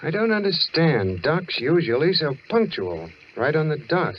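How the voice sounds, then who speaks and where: A wisecracking, affectionate husband speaks in animated, wry banter. It is old radio drama acting. The recording is muffled, with faint noise. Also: male voice, a little high, measured pace. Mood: wry, reassuring.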